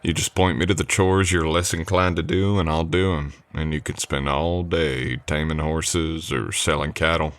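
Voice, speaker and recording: A male actor voices a drifter cowboy hired on as a ranch hand in an old west audio roleplay. His voice is low and soft, and he talks straight to the listener in a folksy, courteous way. He comes across as easygoing and a little unsure of his manners. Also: steady tone, moderate pace, hardly any pauses, no echo. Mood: thoughtful, curious. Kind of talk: ASMR roleplay acting, addressing the listener.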